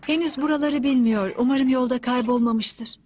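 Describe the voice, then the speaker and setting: A woman's voice: high voice, moderate pace, a little mellow, lightly acted. Woman playing a worried, caring cartoon mother. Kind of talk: dubbed anime acting. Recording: faint noise behind the voice.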